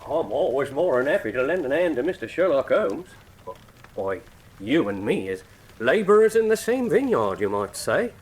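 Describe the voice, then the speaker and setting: A man's voice: mid-range voice, big pitch swings, normal speed, breathy edge. An actor playing a matter-of-fact, working-class retired hangman in a radio drama, with a folksy, plain-spoken, proud manner. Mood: deferential, cheerful. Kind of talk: full-cast radio mystery drama acting.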